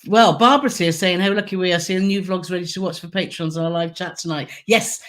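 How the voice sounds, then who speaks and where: Croaky woman chatting on a live stream. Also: on the low side, a little fast. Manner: chatty, giggly, reads viewer comments aloud. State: hoarse, croaky throat. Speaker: co-host of a travel channel live stream. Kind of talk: casual live Q&A chat.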